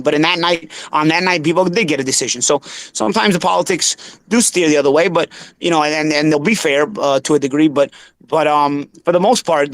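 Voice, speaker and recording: A man in his thirties with a Bensonhurst Brooklyn accent, a former boxer hosting a casual boxing talk show, animated and tripping over repeated words. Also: on the high side, dry recording. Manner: animated, piles up quick restarts and repeated words.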